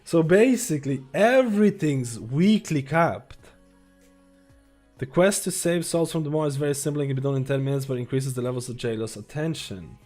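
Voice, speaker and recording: A man live-streaming, reading a guide and viewer questions aloud in a halting, casual way and breaking into asides while losing his voice. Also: a little high, a little mellow, soft background music.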